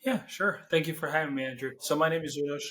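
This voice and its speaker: A young man from Serbia with a Serbian accent, answering interview questions in English as a non-native speaker, pausing to search for words. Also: fairly high pitch, a bit bright. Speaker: guest, business owner.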